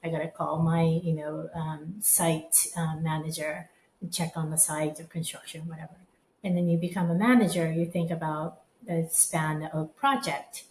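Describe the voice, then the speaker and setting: Woman, low voice, echoey room. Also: steady tone, normal speed, darkish tone. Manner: thoughtful, frequent affirming murmurs. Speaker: guest, design firm co-managing director. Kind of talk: reflective podcast conversation. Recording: echoey room with faint background noise.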